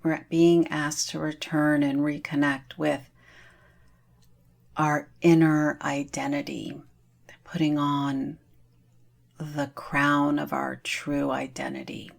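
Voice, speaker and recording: A woman leading a guided meditation in a soothing manner, leaving long pauses between phrases. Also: sits low, little pitch movement, gravelly.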